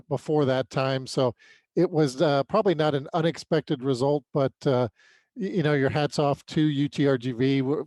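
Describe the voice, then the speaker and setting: A man co-hosting a sports podcast, giving conversational, unscripted game recaps and analysis with some halting, repeated words. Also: medium pitch, darkish tone, no echo.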